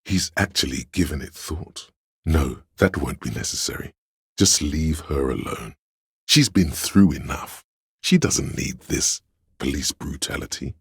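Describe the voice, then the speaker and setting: A male actor voicing a servile, courtly and suspicious adviser in a video game, speaking in a grandiose, measured, theatrical way with a gravelly, husky voice. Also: extremely low pitch, expressive, no room sound, clean studio sound, exaggerated voice. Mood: grave, insistent. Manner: grandiose, measured, addressing listener as sire. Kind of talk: theatrical game character voice lines.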